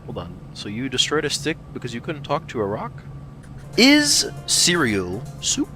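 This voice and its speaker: A man voicing a playful tomcat warrior who reads out fan questions as announcer, teasing, goofy and deadpan by turns. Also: mid-range voice, unhurried, full character voice. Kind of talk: improvised in-character fan Q&A. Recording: music behind the voice.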